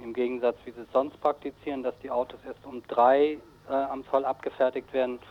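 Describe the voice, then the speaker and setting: A man being interviewed on the radio as an activist, explaining things informally in a matter-of-fact way. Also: mid-range voice. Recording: slightly dull radio recording.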